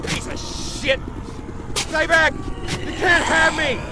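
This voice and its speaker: Man acting a slow, arrogant villainous boss. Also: very high voice, level tone, heavy background noise. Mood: defiant, unhinged. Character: arrogant, defiant villainous male corporate boss. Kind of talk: audio drama acting. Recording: loud music over the voice.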